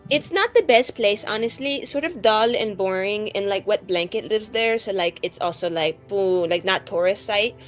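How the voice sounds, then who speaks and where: A woman's voice: medium pitch, moderate pace, hardly any pauses, faint music behind. Chatty, slangy woman in tabletop banter. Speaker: tabletop role-playing player. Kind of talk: casual in-character improvised banter.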